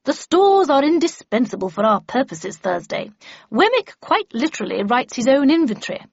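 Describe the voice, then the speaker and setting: Woman doing a bossy cheery eccentric old lady from a storybook, high and exclaiming, muffled audio. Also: very animated, normal speed, creaky, slightly rough, full character voice. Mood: brisk, gracious. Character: brisk, commanding, cheerful eccentric older lady mentor. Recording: muffled audio, roomy echo.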